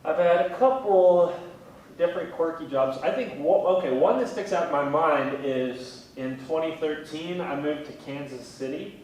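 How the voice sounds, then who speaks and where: A man in his thirties chatting casually in an interview, with a wry, gently self-deprecating way of talking. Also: normal speed. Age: 30s. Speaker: museum communications specialist, interviewee. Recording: very echoey room, distant mic, faint rumbling noise.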